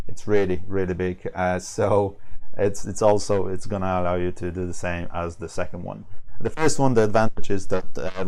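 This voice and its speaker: A man with a low voice talks through a slide presentation as a product trainer. English is not his first language. His delivery is informal and halting, and he often repeats words and rewords what he has said. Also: a little mellow. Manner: halting, repeats and rephrases himself.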